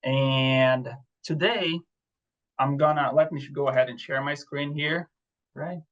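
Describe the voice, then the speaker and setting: A man from Brazil with a Brazilian Portuguese accent, presenting a lecture over a video call in a relaxed way, with frequent fillers and restarts. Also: a little high, slow, lo-fi sound. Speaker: presenter, assistant professor. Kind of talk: webinar lecture.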